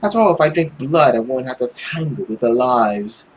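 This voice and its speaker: Here is a man acting a tormented vampire villain from a visual novel. He is sneering and theatrical, drawing out his words with very wide swings of pitch. A faint noise sits behind the voice. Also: animated, natural voice. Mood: cold, aggrieved. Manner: theatrical, drawn-out, sneering delivery. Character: bitter, anguished male vampire villain, mocking and theatrical.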